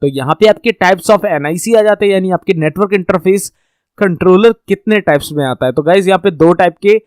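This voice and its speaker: High-voiced man teaching networking in Hindi. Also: a little fast, a little mellow, clear, resonant tone, no room sound, clean studio sound. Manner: conversational teaching, addresses viewers directly, mixes English terms into Hindi. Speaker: networking course instructor. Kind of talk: explaining slides in a recorded lesson.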